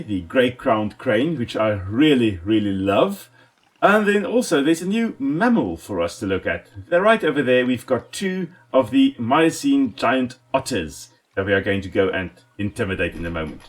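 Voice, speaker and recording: A South African man whose first language is Afrikaans hosts a gameplay video. He talks in a casual, enthusiastic way, even chatting to the animals on screen, with a very animated, swooping delivery at an ordinary middle pitch. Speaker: let's play host and ecologist.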